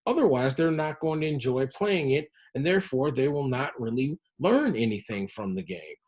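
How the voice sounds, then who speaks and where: Male health educator, medium pitch with a husky edge, measured explanatory presentation delivery. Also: animated, darkish tone. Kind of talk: educational presentation on health-focused game design.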